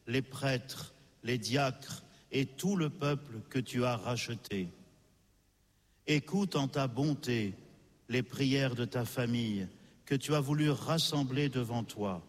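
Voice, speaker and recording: A man presiding at Mass leads the prayer in slow, solemn intoning. His voice is mid-pitched and unhurried. A long reverb from the church follows every phrase. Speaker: presiding priest. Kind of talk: liturgical prayer.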